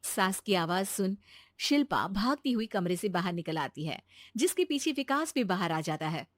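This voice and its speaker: A woman's voice: mid-range voice, very animated, moderate pace, dry recording, clean studio sound. A woman narrating a scripted moral bedtime story as a storyteller.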